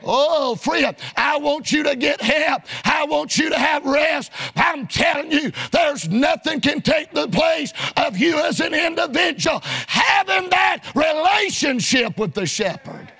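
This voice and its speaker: A man delivering a fiery sermon in a church. His voice is very high and bright, and he shouts in a rhythmic preaching cadence, breaking it up with frequent amens. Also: lively intonation, measured pace, few pauses.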